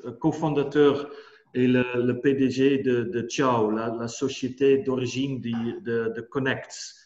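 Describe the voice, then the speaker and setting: Halting Dutch-accented man presenting. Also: medium pitch, fairly even intonation, a little mellow. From Netherlands. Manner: hesitant, many fillers and repeated words. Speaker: company co-founder and CEO presenting. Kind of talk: webinar presentation. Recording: roomy, dull lo-fi sound.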